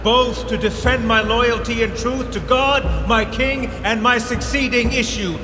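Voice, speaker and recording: Very high-pitched, declaiming proud knight. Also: male voice, steady tone. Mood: proud, defiant. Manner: slow ceremonial declamation. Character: proud knight duke, defiant and honour-bound. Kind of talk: Shakespearean verse radio drama. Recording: echoey hall with loud reverb. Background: music.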